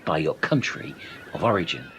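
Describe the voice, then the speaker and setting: A man playing a pedantic, deliberate islander who corrects his friend in a comedy radio play. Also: low voice, big pitch swings, a bit bright, slight background noise. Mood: patiently correcting.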